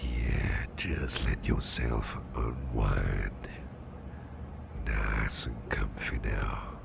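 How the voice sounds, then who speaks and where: Man playing a very deep, gravelly, protective boss. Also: steady tone, background noise, heavily acted. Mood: soothing, tender. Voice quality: very deep, breathy near-whisper, gravelly. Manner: soft, unhurried, soothing, gently teasing, repeats phrases. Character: gentle, protective male boss soothing an exhausted employee. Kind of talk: ASMR roleplay, close personal attention.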